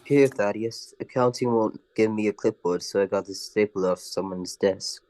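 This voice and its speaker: A man's voice: lowish voice, normal speed, a little mellow, lightly acted. A man playing a helpful, keen newsroom employee in an old-time radio comedy. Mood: obliging.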